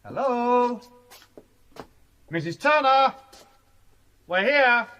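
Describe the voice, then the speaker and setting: A man acting in a radio drama as a forceful, teasing upper-class young English student with a breezy, persuasive manner. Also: extremely high pitch, lively intonation, very slow, dull audio, full character voice. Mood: hearty. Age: young adult. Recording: faint background noise.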